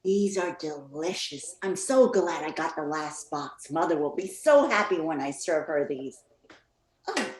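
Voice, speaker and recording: A woman playing a sweet yet strict mother in her thirties in an amateur radio play. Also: fairly low pitch. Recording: echoey, roomy sound.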